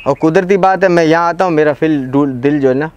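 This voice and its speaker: A man's voice: fairly high pitch, fairly quick, darkish tone, clear, resonant tone, lo-fi sound. A man telling an interviewer about strange happenings on his land, talking hesitantly with many fillers and restarts, recorded outdoors by a film crew. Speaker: local farmer interviewee. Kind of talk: recounting events in casual conversation. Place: outdoors by a walled rural plot. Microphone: field recording by a camera crew.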